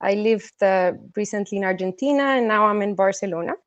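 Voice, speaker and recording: A woman's voice: mid-range voice, fairly quick, no room sound, highs cut off. Woman, dry close sound, interview guest. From Colombia, also raised in the States and China. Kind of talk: casual conversational interview answers.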